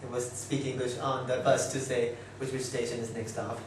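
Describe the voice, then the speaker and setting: Young taiwanese guy chatting to students, kinda halting english, really echoey room w lots of bg noise. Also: medium pitch, level tone. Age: young adult. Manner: chatty, halting non-native English with repeated phrases. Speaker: student speaker. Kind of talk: informal presentation to students. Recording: very echoey noisy room, distant mic.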